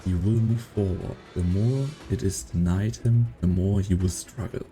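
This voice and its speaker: A man acts out a brooding storyteller in a game drama. His voice is low and extremely mellow and dark, and he delivers grim lines with deliberate portent over faint music. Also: fairly even intonation, natural voice. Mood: solemn, ominous. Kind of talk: dramatic narration in amateur fan dub.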